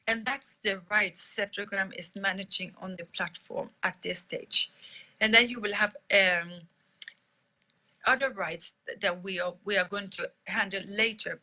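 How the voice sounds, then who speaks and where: Bright-voiced woman, Liberian-British-Swedish accent. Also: mid-range voice, low-quality recording. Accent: mix of Liberian English, British English and Swedish English. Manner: conversational, many fillers and restarts. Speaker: company CEO answering audience questions. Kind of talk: live-stream Q&A.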